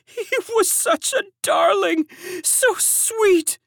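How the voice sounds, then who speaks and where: A woman voicing a heartbroken servant woman who sobs as she speaks, in a very high voice. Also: very animated, measured pace, no echo, studio quality, full character voice. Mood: sobbing. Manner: sobbing, very high and breathy. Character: sobbing young servant woman, tender and heartbroken.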